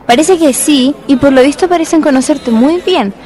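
A woman's voice: high voice, moderate pace, dark tone, natural voice. A woman playing a young man's new girlfriend who questions him warily in a radio drama. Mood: wary. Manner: questioning, wary. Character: Pedro's new girlfriend, suspicious then disgusted. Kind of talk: community radio drama acting. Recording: radio drama with faint music.

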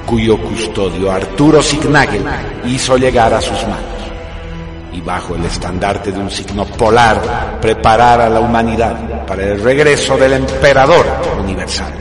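Male narrator for radio drama: lowish voice, solemn, declamatory delivery over prominent music. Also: moderate pace.

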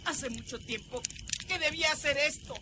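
A woman's voice: high-pitched, moderate pace, very noisy, lightly acted. An actress playing an anguished, fearful old Mexican grandmother in a melodramatic horror radio drama. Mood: grave, fearful.

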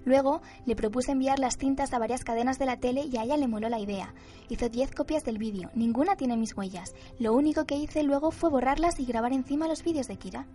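An eager, reckless young woman acted by a woman in a radio drama. Her voice is high and girlish, with devoted insistence, over faint music. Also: brisk, dark tone, natural voice. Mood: confident, insistent.

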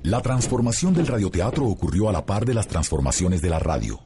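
A man reading scripted documentary narration in a husky voice. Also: low-pitched, big pitch swings, brisk, background noise. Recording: long reverb over faint music.

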